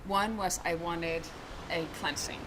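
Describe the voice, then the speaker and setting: A woman from Venezuela describing a spiritual experience in a slow, searching way with vivid imagery. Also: fairly low pitch, steady tone, background noise. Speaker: retreat guest. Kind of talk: reflective testimonial.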